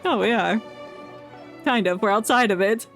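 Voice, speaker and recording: A woman's voice: medium pitch, normal speed, fairly bright tone. A woman game streamer giving casual, playful live commentary with joking asides and reading dialogue aloud. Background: game music.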